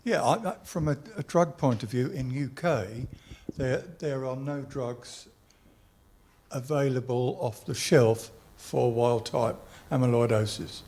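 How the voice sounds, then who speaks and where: A man's voice: medium pitch, a little slow, a little mellow. A man speaking as a patient on a panel. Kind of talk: panel discussion remarks. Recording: faint background noise.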